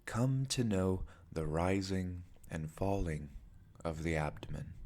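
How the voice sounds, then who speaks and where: A man guiding a meditation as its teacher, speaking in measured, deliberate phrases with pauses between them. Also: extremely low pitch. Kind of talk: guided meditation narration.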